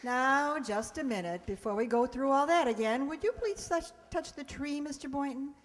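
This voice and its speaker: A woman playing a wry, lovelorn high school English teacher in an old-time radio sitcom, theatrical in delivery. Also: animated, moderate pace. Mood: bewildered. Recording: long hall reverb.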